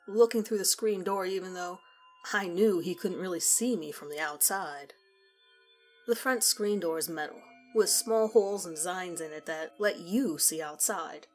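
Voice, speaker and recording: A woman narrating a scripted first-person story in a conversational, measured storytelling manner. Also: fairly low pitch. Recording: music behind the voice. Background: background music.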